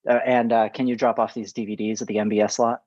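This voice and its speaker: A man's voice: on the low side, little pitch movement, fast, a little mellow, no echo, natural voice. A man reading the part of a brusque, bossy male TV production boss in a comedy table read, on a slightly dull mic. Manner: curt, matter-of-fact.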